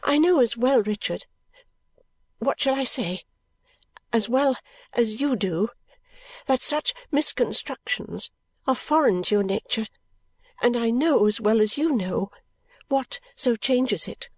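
Actress as gentle young woman, classic novel dialogue: sincere, pleading, mildly reproving, very dry recording. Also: fairly high pitch, lively intonation, takes it slowly, husky, no echo, exaggerated voice.

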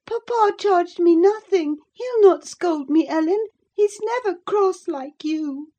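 A woman's voice: expressive, full character voice. A woman voicing a spirited, wilful thirteen-year-old girl who chatters and pouts. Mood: tearful. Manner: high, bright and quick.

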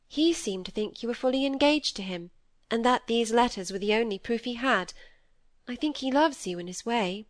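A woman voicing a gentle, earnest young woman in an audiobook, apologetic and loyal. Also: on the high side, expressive, normal speed, dry recording, voice pushed up, close to an everyday voice. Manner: earnest, soft-hearted. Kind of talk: acted audiobook dialogue.

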